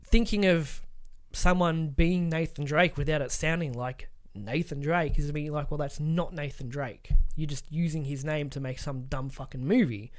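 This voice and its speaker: A man's voice: fairly high pitch, normal speed, few pauses. A man co-hosting a podcast in casual, opinionated chat, emphatic and given to swearing. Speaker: podcast co-host.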